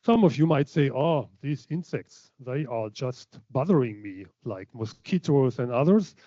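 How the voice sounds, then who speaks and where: A man's voice: mid-range voice, expressive, measured pace, mellow, no room sound, lo-fi sound. Man giving a slide lecture. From Germany. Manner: hesitant, many fillers and restarts. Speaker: keynote speaker.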